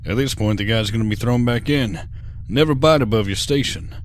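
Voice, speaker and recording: A man playing a cocky, folksy veteran space convict in an audio drama, smooth-talking and condescending. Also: medium pitch, brisk, slight background noise, natural voice. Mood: wry, smug.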